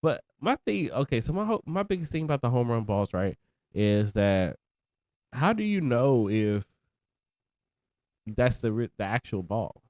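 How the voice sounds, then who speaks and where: A young man co-hosting a podcast in relaxed chat, talking with easygoing slang and sounding congested. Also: medium pitch, a little slow, pauses often, no room sound. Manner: relaxed, laid-back slang. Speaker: podcast co-host. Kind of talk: casual chat.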